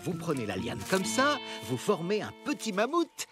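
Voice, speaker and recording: Pompous cartoon teacher dad, very animated, lecturing and stumbling a bit, loud music behind. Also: female voice, on the low side, moderate pace. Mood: eager, didactic. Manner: didactic, hesitant, exclamatory. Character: earnest, pompous father leading children's nature class. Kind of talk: French animated cartoon acting.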